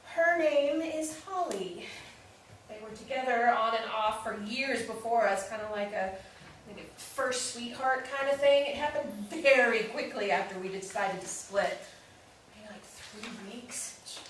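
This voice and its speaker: A woman's voice: fairly high pitch, measured pace, fairly bright tone. A woman acting a wounded, defensive, newly separated sister in a staged play reading, candid and emotional. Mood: hurt, bitter. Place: live staged reading in an echoey room. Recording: very echoey room, distant mic, faint noise.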